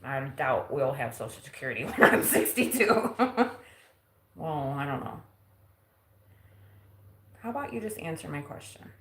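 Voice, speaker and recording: Woman, 40s, low pitch, casual self-teasing live-stream talk, roomy faint-ambience sound. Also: sing-song, measured pace, long pauses, a bit bright, slight background noise. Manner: chatty, self-teasing, laughs often. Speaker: vlogger. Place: home kitchen.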